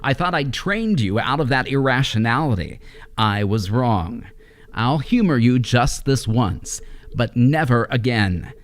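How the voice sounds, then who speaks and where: An actor playing a cold, selfish, middle-aged scientist husband in an impatient, condescending manner in an old-time radio horror drama. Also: male voice, mid-range voice, expressive, unhurried, natural voice. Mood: irritated, scornful.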